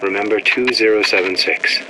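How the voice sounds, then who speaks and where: A man's voice: on the low side, steady tone, brisk, natural voice. An actor playing a disillusioned male shopkeeper, wry and exasperated, in a video game audio diary. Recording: noisy vintage audio-diary recording.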